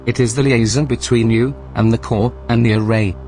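Man acting as a calm, clinical station computer. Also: mid-range voice, faint music behind, close to an everyday voice. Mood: calm, apologetic. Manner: formal, measured, polite. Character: calm, clinical male artificial intelligence running a research station. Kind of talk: science-fiction audio drama acting.

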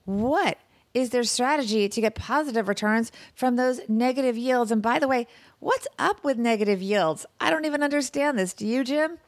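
A woman hosting a money podcast from her home kitchen, her voice hoarse, chatty and upbeat with quick asides. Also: a little high, no room sound. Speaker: podcast host and financial planner. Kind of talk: casual podcast chat.

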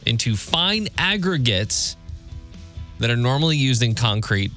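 A male radio host with a bright, forceful voice and very lively pitch. He talks casually and jokes his way through quirky news items, with light music behind him. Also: unhurried. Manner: jokey, conversational.

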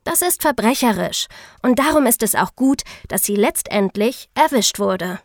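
A woman's voice: a little high, animated, normal speed, very noisy. A woman co-hosting a podcast, giving opinions in a scripted discussion. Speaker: podcast co-host.

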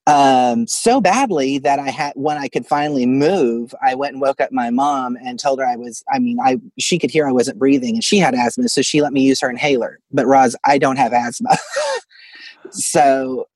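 A man's voice: mid-range voice, moderate pace, darkish tone, no echo, poor audio. A man from Arkansas calling in to tell a personal story in a casual, chuckling way. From Arkansas, United States. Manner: chuckles through his story. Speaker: caller telling a personal ghost story. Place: closet at home.

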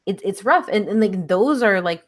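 A woman's voice: medium pitch, expressive, normal speed. A woman from Texas in casual conversation, earnest and thoughtful, often restarting her sentences with "like". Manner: earnest, frequent "like" fillers and restarts.

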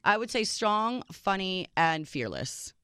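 A woman from Minnesota whose first language is Serbian, co-hosting a radio show in quick, upbeat casual talk. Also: mid-range voice, sing-song, a bit bright, clean tone, no echo, clean studio sound.

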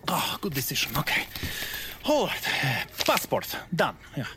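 A man's voice: fairly high pitch, wildly swooping pitch, unhurried, noisy background. An actor playing a nervy male forger who mutters pep talks to himself in an audio drama. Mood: anxious, self-encouraging. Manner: muttering self-pep-talk, exclaiming. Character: nervy Russian male forger talking himself through a job.

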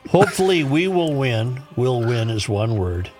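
A man hosting a radio show, reading aloud and chatting in a deliberate, picky way, spelling things out. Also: medium pitch, big pitch swings, a little mellow, soft background music.

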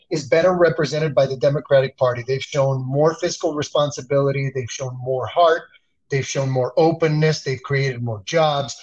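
A man's voice: fairly high pitch, a little mellow, dull audio. A man from the Dominican Republic, a communications expert speaking earnestly as a guest in a political interview, often restarting and repeating words.

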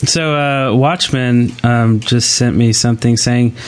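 A man's voice: mid-range voice, fairly even intonation, unhurried, smooth. A man co-hosting a podcast, chatting casually and informally with the group. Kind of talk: casual chat.

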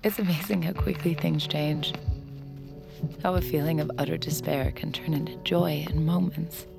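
Guarded, anxious young woman for audio drama: low register, heavy vocal fry, confessional. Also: fairly even intonation, normal speed, over loud music. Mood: intimate reflection. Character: guarded, anxious young woman bartender, narrating her thoughts. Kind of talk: audio drama acting with intimate inner narration.

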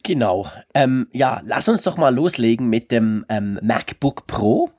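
Man, hoarse from a cold, chatty podcast co-host, dry close mic. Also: medium pitch, measured pace, dry recording. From Switzerland. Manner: chatty, animated, colloquial. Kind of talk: casual tech podcast chat.

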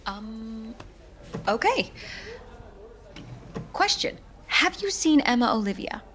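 A woman's voice: a little high, sing-song, takes it slowly, fairly bright tone, slight background noise, exaggerated voice. A woman playing an earnest, encouraging stage manager in a scripted comedy audio drama. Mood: encouraging, awkward.

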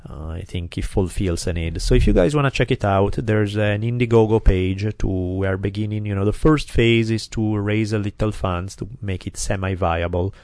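Low-voiced Italian-accented podcast host. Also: male voice, normal speed, hardly any pauses, a little mellow, no echo, studio quality. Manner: chatty, rambling with many fillers. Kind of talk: casual podcast chat. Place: quiet recording studio.

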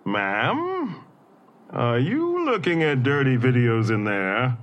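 A woman acting a nosy, teasing housemate with a husky voice in audio drama dialogue. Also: rumbling low, sing-song, takes it slowly, muffled recording, heavily acted. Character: nosy, teasing housemate calling through a door.

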